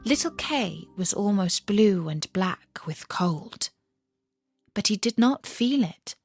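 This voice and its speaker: A woman reading a fairy tale as a storyteller, with measured, expressive storybook narration. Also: mid-range voice, soft background music.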